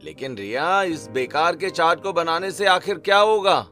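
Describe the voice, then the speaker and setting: A man's voice: a little high, moderate pace, pushed and bright, faint music behind. A man voicing a baffled, complaining young husband in a cartoon story, questioning and reproachful. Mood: puzzled. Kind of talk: Hindi cartoon story dialogue.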